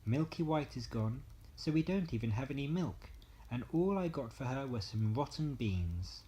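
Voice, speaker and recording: A man voicing a naive, earnest, excitable young boy adventurer in a bedtime story. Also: mid-range voice, moderate pace, lightly acted. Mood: regretful.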